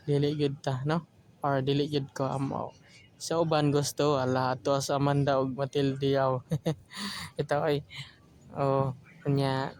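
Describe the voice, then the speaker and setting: A man's voice: mid-range voice, darkish tone, slight background noise. A man with a Filipino accent, hosting an audio drama channel in casual, joking, rambling chat. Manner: joking, colloquial.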